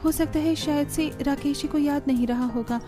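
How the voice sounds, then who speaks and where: A woman's voice: high voice, steady tone, normal speed, over music, pitched above the performer's own voice, lightly acted. A woman voicing a dutiful young village daughter-in-law in a family cartoon, polite, earnest and practical. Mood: polite, soothing. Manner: deferential, earnest, pleading. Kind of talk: Hindi family cartoon voice acting.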